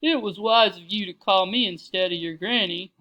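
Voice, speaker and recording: A woman voicing a dignified female mastiff in a storybook, deep, refined and measured. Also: fairly bright tone, natural voice. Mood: composed. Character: cultured, dignified female mastiff lady dog.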